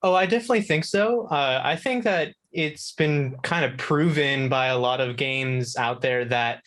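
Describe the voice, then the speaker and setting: A man's voice: mid-range voice, moderate pace, fairly bright tone. A young man chatting casually as a talk show guest, earnest and a little hesitant. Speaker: guest contributor on video games.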